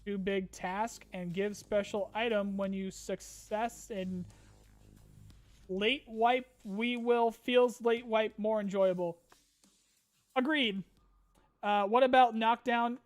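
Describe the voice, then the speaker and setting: A man's voice: high-pitched, steady tone, slow. A man streaming a casual chat, reading viewer questions aloud and answering loosely with fillers, a little tired and his voice getting strained.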